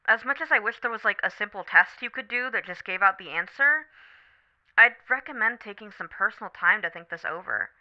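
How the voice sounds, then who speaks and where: A woman playing a supportive, candid best friend on a late-night call in an ASMR roleplay, talking casually, gently and thoughtfully. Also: mid-range voice, expressive, brisk, highs cut off, lightly acted. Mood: reassuring. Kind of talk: scripted ASMR roleplay, one side of a phone conversation.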